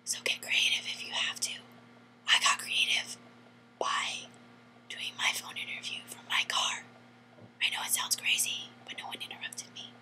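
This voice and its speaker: Young woman in a hushed, playful whisper. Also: normal speed. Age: young adult. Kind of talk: whispered step-by-step advice vlog.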